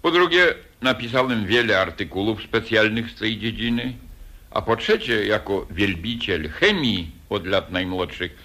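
An actor playing an older, boastful and self-pitying engineer in 1940s radio acting, with lively, theatrical hesitations. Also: male voice, on the low side, a little slow, exaggerated voice. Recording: old radio recording, faint hiss.

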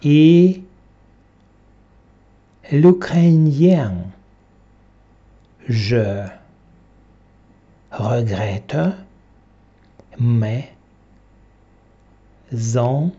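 A man, a French teacher, reading a textbook dialogue aloud one word at a time with long pauses. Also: medium pitch, mellow. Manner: reads each word separately with long pauses. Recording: dull lo-fi recording.

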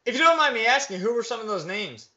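A man's voice: very high voice, sing-song, quick pace, pushed and bright. A young man interviewing over a video call from indoors, asking easygoing questions with many fillers. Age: young adult. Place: indoors, plain wall. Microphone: webcam on a video call. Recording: dull video-call audio.

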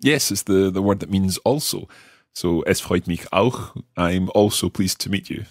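A man co-hosting a language lesson as the learner, asking questions and slowly repeating phrases. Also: sits low, no echo, studio quality. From Scotland. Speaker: learner co-host.

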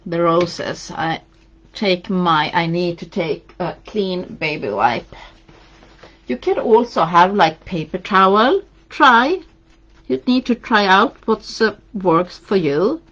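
Woman, Swedish accent, live craft demo, slow unhurried talk, dull lo-fi stream audio. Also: fairly low pitch, expressive, a bit bright. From Sweden. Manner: chatty, encouraging, reads out viewer comments while painting. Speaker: craft demonstrator. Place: indoors at a craft table.

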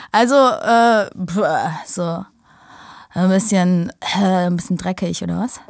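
A voice actress talking casually in the studio about how to play a role. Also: female voice, medium pitch, fairly even intonation, slow pace, gravelly, a little mellow, no room sound. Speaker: voice actress in an audio drama.

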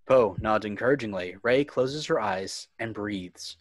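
A man's voice: fairly low pitch, measured pace, dry recording. A man reading scripted screenplay narration, describing scenes and action. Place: indoors on a home video call.

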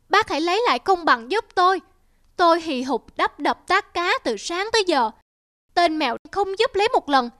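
Whining, very high-pitched cartoon stork. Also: female voice, a bit bright, no echo, clean studio sound. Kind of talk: animated fable dubbing.

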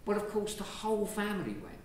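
An Englishman, a priest, giving a lively, conversational sermon from the pulpit of a large church, filmed from a distance. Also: high voice, fast. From England. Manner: lively, conversational preaching with gestures. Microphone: far camera. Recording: very echoey church from far off, faint hiss.

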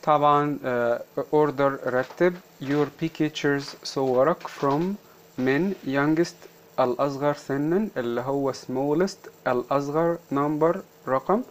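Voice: medium pitch, slow, a little hiss or hum, poor audio. A teacher with an Egyptian Arabic accent explains a children's workbook, repeating phrases word by word and switching between English and Arabic.

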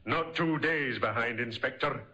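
An actor playing a dutiful, respectful, plain-spoken police sergeant in a vintage radio drama. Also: male voice, mid-range voice, measured pace, pushed and bright, rough recording. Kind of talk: 1950s radio drama acting.

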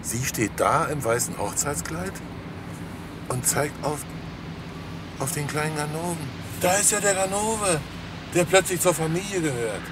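Blunt, sardonic police detective. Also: male voice, on the high side, animated, unhurried, pauses often, background noise. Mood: scornful. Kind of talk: German crime radio drama acting.

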